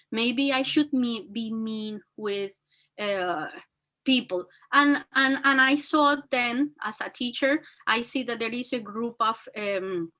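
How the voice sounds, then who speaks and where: A woman with a very high, bright and forceful voice talks earnestly, her full of fillers and restarts. She speaks as a teacher and guest, giving motivational advice. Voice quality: very high-pitched voice. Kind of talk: motivational talk.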